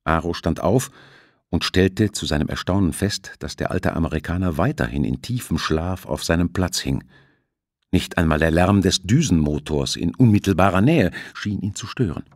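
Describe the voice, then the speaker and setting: A man reads a suspense novel in a low-pitched voice. His delivery is measured, scripted storytelling with dramatic weight. The recording is dry, with hardly any room sound. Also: lively intonation, no echo. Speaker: audiobook narrator.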